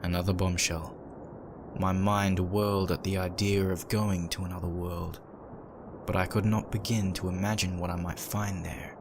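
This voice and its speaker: A man acting as the brooding, uneasy narrator of a dark horror audio drama, reading in a dramatic scripted style. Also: low-pitched, flat delivery, normal speed. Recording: noisy, with background ambience effects.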